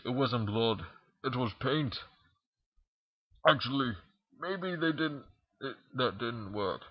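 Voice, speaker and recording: A man's voice: medium pitch, dry recording, muffled recording. A man reading a story aloud in an informal amateur narration, stumbling now and then. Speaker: fan fiction reader.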